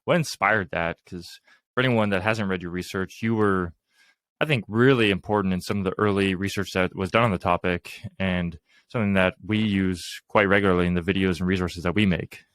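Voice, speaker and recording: A man's voice: low voice, steady tone, fairly quick, fairly bright tone. A man co-hosting a rehab podcast, asking questions in an appreciative, conversational way. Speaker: podcast co-host. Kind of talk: interview questions.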